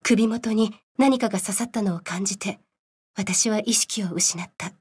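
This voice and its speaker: A woman voicing a solemn female scientist in a game cutscene, telling a grim story in a measured, serious way. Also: medium pitch, darkish tone, slightly rough. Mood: grave, bitter. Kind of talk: dramatic game cutscene narration.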